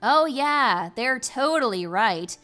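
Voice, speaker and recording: A woman's voice: a little high, animated, slow, bright, forceful tone, faint music behind, heavily acted. A woman voicing a bookish young pony princess in a fan fiction audio drama, awkward and earnest, with a wry, flustered delivery. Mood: sarcastic.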